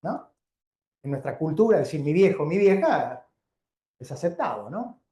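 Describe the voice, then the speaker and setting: Male Argentine lecturer, informal and explanatory, mellow timbre, clearly reverberant room. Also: a little high, sing-song, a little slow. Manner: conversational, didactic, frequent check-in questions. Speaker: doctor giving a keynote lecture. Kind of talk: informal public lecture to a live audience. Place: indoor lecture venue before an audience. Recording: roomy, echoing live lecture sound.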